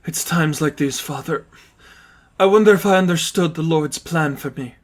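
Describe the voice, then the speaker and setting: A man's voice: fairly high pitch, moderate pace, pitched above the performer's own voice, close to an everyday voice. A man voicing a distressed, tearful nun who pleads in doubt about her calling. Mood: anguished doubt. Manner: pleading, anguished.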